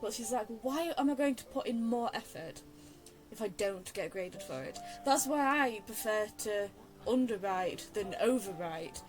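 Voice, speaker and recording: A woman's voice: medium pitch, animated, unhurried, faint music behind, poor audio. A chatty young woman giving casual, digressive, self-deprecating game commentary. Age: young adult. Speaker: game streamer.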